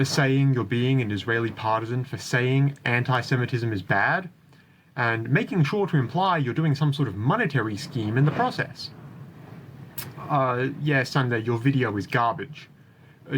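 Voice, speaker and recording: Male video commentator, medium pitch, critical and articulate scripted delivery. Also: fairly bright tone, slight background noise. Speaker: video creator.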